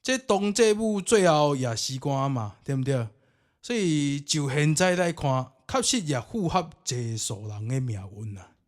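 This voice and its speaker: A man's voice: a little high, animated, unhurried. A man with a Taiwanese accent narrating ghost stories and commenting on them in a theatrical, colloquial way. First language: Taiwanese Hokkien. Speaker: podcast host and storyteller.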